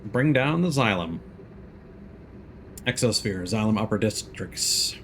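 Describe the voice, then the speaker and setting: A man's voice: fairly low pitch, lively intonation, takes it slowly, a bit bright, slight background noise. A man streaming a video game with casual, reactive commentary that rambles and breaks into exclamations. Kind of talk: casual live gameplay commentary. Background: game music and sound effects.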